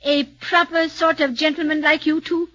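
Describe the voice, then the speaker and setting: A woman's voice: high voice, little pitch movement, normal speed, bright, forceful tone. An actress playing a bitter, scarred woman in a veil with a coarse, wheedling way of talking, in a 1940s radio play. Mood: sly. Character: scarred bitter middle-aged woman in a veil, sly and rough. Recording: dull lo-fi old radio broadcast.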